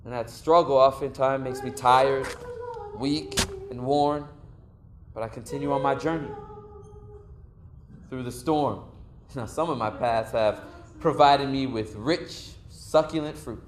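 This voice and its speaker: A man's voice: medium pitch, a little slow, pauses often, lightly acted. An actor playing a young man who earnestly reflects on his path in life, speaking a long stage monologue. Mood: reflective. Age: young adult. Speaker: lead actor in a stage play.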